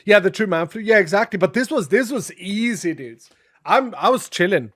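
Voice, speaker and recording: Streamer, male, sniffly and raspy from a cold, chatty and a bit dramatic, sits high in his range. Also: expressive, normal speed, a bit bright. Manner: chatty, dramatic, thanks viewers often. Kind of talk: casual live-stream chat.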